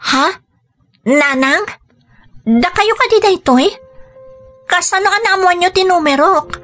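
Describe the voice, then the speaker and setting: A woman's voice: extremely high pitch, takes it slowly, fairly bright tone, soft background music, exaggerated voice. A woman acting an earnest, dutiful and forgiving young migrant worker in an Ilocano radio drama. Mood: surprised.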